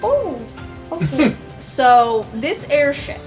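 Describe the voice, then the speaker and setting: A woman's voice: high-pitched, sing-song, very slow. A woman playing a tabletop game, describing her moves casually with some swearing. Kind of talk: improvised casual game narration. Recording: music bed behind the voice.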